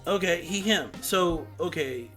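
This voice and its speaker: Male, twenties, higher-pitched voice, fed-up and combative live-chat host, slangy and sweary, faint music underneath. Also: animated, measured pace, pushed and bright. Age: 20s. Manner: exasperated, confrontational, slangy and sweary. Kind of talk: casual argumentative live conversation.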